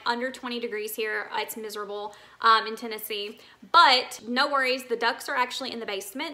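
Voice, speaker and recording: A woman's voice: fairly high pitch, sharp tone. A woman in her thirties chatting casually and enthusiastically to her viewers as a vlogger, gushing as she goes. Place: indoor living room, seated.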